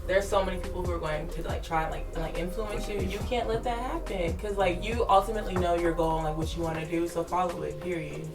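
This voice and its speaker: Young woman, low voice, firm, confident, echoey room, distant mic, background music. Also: steady tone, fast, hardly any pauses. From Saint Paul, Minnesota. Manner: firm, confident, says "like" often.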